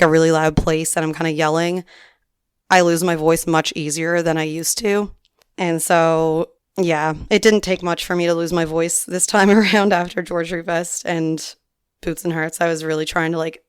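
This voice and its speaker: A chatty young woman hosts her solo podcast, her voice a little raspy and worn from singing. Her tone is low for a woman yet smooth and clear. The sound is close and dry, with no room around it. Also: dry recording. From Newfoundland, Canada. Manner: chatty, rambling, frequent ums and likes. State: slightly hoarse from singing. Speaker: podcast host. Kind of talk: casual solo podcast chat.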